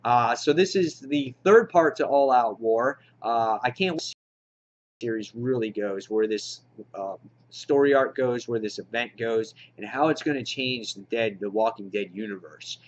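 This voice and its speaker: A muffled, lo-fi recording of a man with a mid-pitched voice. He talks off the cuff as an enthusiastic fan, addressing his viewers directly in a casual review. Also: normal speed. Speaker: comic book reviewer, channel host. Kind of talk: casual unscripted haul review to camera. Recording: dull, lo-fi home recording.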